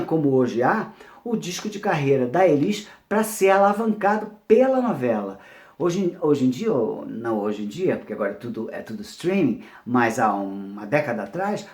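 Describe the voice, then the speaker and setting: A middle-aged Brazilian man presenting informally, telling stories in an animated way and often rephrasing himself. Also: fairly high pitch. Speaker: presenter, music producer. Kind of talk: informal talk on music history. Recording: roomy, echoing sound.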